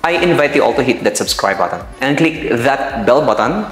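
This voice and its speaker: A man's voice: mid-range voice, lively intonation, fairly quick. A man with a Filipino accent hosting a casual property tour, chatting informally to viewers as he shows the rooms. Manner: informal, mixing Tagalog and English, addressing viewers as guys. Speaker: property tour host, real estate seller. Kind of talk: casual house tour vlog narration. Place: indoors in an empty new house. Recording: echoey bare rooms, music underneath. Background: background music.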